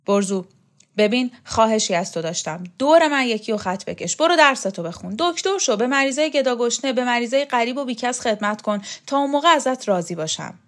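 A woman doing a gruff, scornful tough guy. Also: a little high, expressive, voice pushed up, lightly acted. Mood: gruff tender. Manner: coarse, scolding, swears freely. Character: tough, blunt middle-aged male strongman, scornful and gruff.

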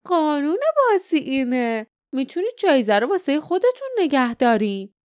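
Woman voicing a high-pitched, sly, smug male villain. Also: very animated, no room sound, studio quality. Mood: gloating menace. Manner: languid, mocking, drawn-out menace. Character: sly, contemptuous bearded male guardian villain, theatrically smug. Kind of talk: dramatised audiobook storytelling.

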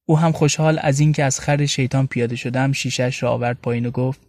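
A man's voice: mid-range voice, fairly even intonation, darkish tone, no room sound, lightly acted. Man reading as a wry young woman, close and dry. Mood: irritated, sardonic. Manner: wry, colloquial storytelling. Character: young Tehrani woman, sardonic, feisty, recounting her days. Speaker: story narrator. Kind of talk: scripted first-person audio story narration. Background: light music bed.

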